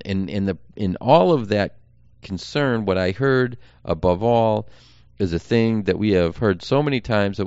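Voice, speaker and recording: An American man giving an informal campaign update as a podcast host and candidate, explaining things earnestly in short measured phrases. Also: on the low side, creaky, clean tone, no room sound, highs cut off.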